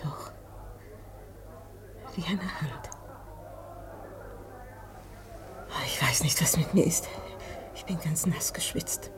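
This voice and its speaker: Casting: frightened, clinging wife, breathy half-whisper, slow delivery, radio drama. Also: female voice, low voice. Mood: anxious dread. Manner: slow, fearful, hushed. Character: anxious, sleepless wife clinging to her husband.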